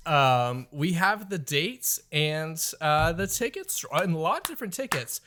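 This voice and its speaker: A man's voice: fairly high pitch, lively intonation, measured pace, noisy background. A man co-hosting a podcast in casual chat, rambling through his theories. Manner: rambling, speculative, laid-back. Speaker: podcast co-host.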